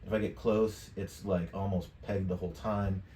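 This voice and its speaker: A middle-aged man giving a casual step-by-step software tutorial, thinking aloud as he works. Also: deep voice, flat delivery, moderate pace. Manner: informal, thinking aloud while clicking. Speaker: tutorial presenter. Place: indoors, home studio room at a computer desk. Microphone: USB microphone at some distance from the mouth. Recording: echoey room with clear background noise.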